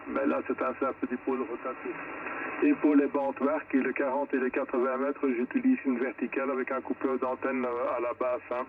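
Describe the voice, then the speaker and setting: A man chatting casually as an amateur radio operator, explaining things in a rambling way over a shortwave link. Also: mid-range voice. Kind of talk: casual ham radio contact. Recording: distant narrow-band shortwave radio, faint static.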